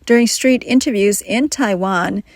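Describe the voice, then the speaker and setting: A woman narrating a news report in a measured, scripted reading. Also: mid-range voice, dry recording, studio quality.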